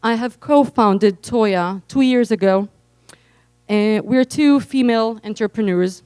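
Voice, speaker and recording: Israeli-accented woman, reading aloud carefully, smooth clear voice, dry sound. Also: mid-range voice, a little mellow, no room sound. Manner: careful, read-aloud delivery. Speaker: entrepreneur. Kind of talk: reading a prepared speech.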